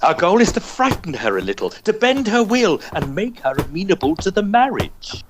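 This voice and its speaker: A man's voice: sits high, big pitch swings, normal speed, noisy background. A man playing a confident, wicked Victorian guardian with an insinuating, theatrical manner in old-style radio melodrama. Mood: smug, scheming.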